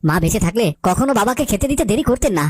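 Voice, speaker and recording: A woman's voice: on the high side, animated, rapid-fire. A woman voicing an old man's grown sons in a cartoon folk tale, dramatic and emphatic. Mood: caring. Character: adult sons of an old man, quarrelsome brothers. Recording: loud music behind the voice.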